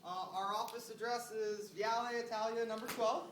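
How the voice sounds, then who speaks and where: A man with a high voice coaches recruiters through a casual phone script. He sounds upbeat and often checks in with short tag questions. The recording is roomy and distant, with noise behind him. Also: steady tone, normal speed, a bit bright. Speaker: recruiting trainer making phone screening calls. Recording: roomy, distant mic, noisy background.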